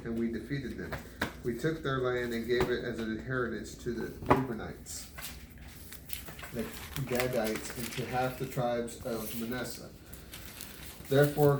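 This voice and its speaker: Roomy, distant recording of man reading scripture. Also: mid-range voice. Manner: measured, deliberate, stumbles over some names. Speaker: Bible reader. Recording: roomy, distant mic, noisy background.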